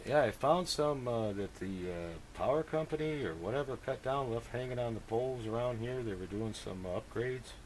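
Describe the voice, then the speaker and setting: A man's voice: medium pitch, normal speed, few pauses, a little hiss or hum, low-quality recording. A man chatting casually and technically as an amateur radio operator on two-way radio, thinking aloud as he goes.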